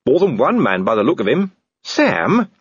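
A man voicing a kindly aristocratic lady who speaks in a high, gentle, proper way. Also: wildly swooping pitch, normal speed, husky, no echo, lo-fi sound, exaggerated voice. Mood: fond.

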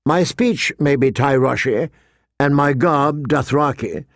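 A man narrating a fantasy audiobook, voicing a young princess who is courteous, formal and quietly commanding. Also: medium pitch, slow, no room sound, clean studio sound. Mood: gracious, composed. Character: young princess, gracious, proud and softly commanding.

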